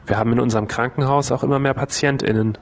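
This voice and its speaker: Actor as a 31-year-old hospital worker, earnest, faint traffic behind. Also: male voice, medium pitch, fairly even intonation, fast talker, lightly acted. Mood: plain. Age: 30s. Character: man of 31 working at a hospital. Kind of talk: scripted first-person testimony.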